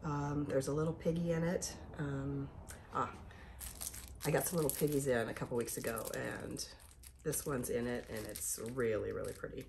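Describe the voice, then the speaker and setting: Very low-voiced, slightly hoarse woman. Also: steady tone, moderate pace, darkish tone, slight background noise. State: slightly hoarse from being sick. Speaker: host of an art tutorial channel. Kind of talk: casual painting tutorial narration.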